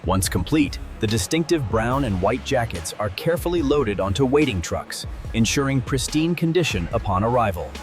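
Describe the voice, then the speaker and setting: A man's voice: mid-range voice, big pitch swings, normal speed, over music. A man reading scripted narration for a factory documentary.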